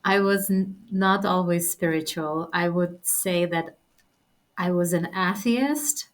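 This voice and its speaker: A woman from Russia answers interview questions in an earnest, thoughtful way. Her voice sits fairly low. She speaks over a video call. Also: slow. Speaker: guest, spiritual mentor.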